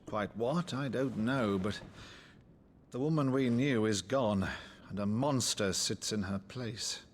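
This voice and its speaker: Theatrical, unhurried old nobleman. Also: fairly low pitch, slight background noise. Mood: grave, weary. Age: older. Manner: theatrical, grandiloquent. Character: blustering, warm-hearted old nobleman uncle, theatrical and grand. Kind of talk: dramatic video game acting.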